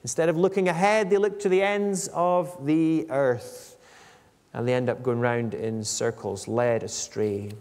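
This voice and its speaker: A man in his forties delivering an expository sermon in a measured, explanatory manner, reading out scripture and commenting on it. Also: mid-range voice, fairly even intonation, a little mellow. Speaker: preacher. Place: indoor church hall at a lectern. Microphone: microphone on a stand at lectern. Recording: echoey church hall, long reverb.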